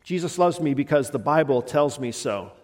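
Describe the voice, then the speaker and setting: A man's voice: fairly high pitch, expressive, normal speed. A middle-aged male pastor with a slight head cold, preaching a sermon in a conversational, meandering way with frequent restarts. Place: church sanctuary. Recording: long reverb of a church hall.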